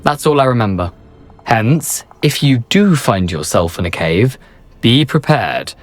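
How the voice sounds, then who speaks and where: A man's voice: medium pitch, big pitch swings, measured pace. A man voicing a wry, self-deprecating ghost of a rakish adventurer in a video game, with an eloquent, understated delivery. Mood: droll, rueful. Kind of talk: video game character dialogue.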